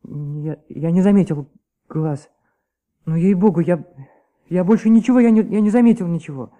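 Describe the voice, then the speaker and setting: Radio drama: earnest choirboy witness, played by a man, high-pitched, mellow, stammering and unsure. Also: moderate pace, natural voice. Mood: uneasy, halting. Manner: hesitant, stammering, repeating himself. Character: nervous young choirboy giving testimony, hesitant and earnest. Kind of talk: Soviet radio play acting.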